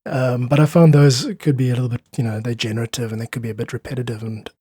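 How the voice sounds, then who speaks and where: A man speaks as a guest in a casual podcast conversation. His voice is husky and sits at a medium pitch, with a thoughtful, explanatory way of talking. The sound is close and dry. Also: steady tone, fast, dark tone, no echo. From South Africa. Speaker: podcast guest, freelance musician.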